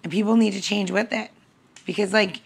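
A woman's voice: medium pitch, steady tone, a little fast, vocal fry, slightly rough. Casual, rambling woman podcaster. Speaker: podcast co-host. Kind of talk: casual, candid chat.

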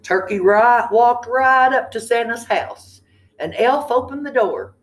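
A woman's voice: on the high side, lively intonation, unhurried. An older woman reading a children's picture book expressively, with chatty asides and questions to the listeners. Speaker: read-aloud storyteller. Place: indoor room with tiled ceiling.

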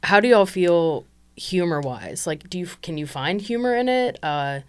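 Low, smooth-voiced young woman host. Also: expressive, normal speed. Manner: easygoing, asks questions, laughs along. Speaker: podcast host. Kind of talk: casual chat. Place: small podcast studio with colored lights. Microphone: studio mic on boom arm, headphones.

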